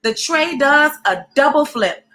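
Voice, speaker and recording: An animated, encouraging woman hosting a storybook read-aloud, speaking directly to children in an expressive way. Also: sits high, measured pace, soft background music.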